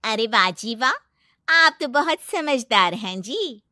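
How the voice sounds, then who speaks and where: A woman's voice: a little high, expressive, takes it slowly, bright, forceful tone, no room sound, studio quality, heavily acted. A woman voicing a moneylender's fawning, greedy village wife in a cartoon moral story, drawling and exclamatory.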